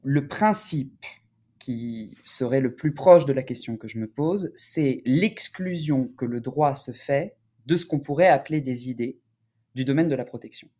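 A man speaking as an academic researcher in an interview, explaining in a deliberate, halting, scholarly way. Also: medium pitch, dark tone.

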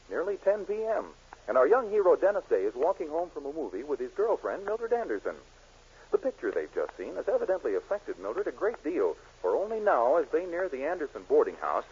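An American man announcing a scripted 1940s radio show in a polished, showmanlike way. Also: mid-range voice. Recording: muffled 1940s radio broadcast.

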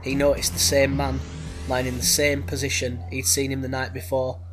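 A man's voice: mid-range voice, completely flat delivery, normal speed, music in the background. A man hosting a true crime podcast, narrating a mystery with a head cold. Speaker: podcast host.

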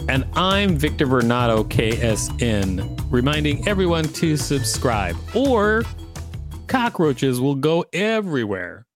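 A man co-hosting a podcast with casual commentary and deadpan jokes. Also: medium pitch, a little slow, faint music behind. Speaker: podcast co-host.

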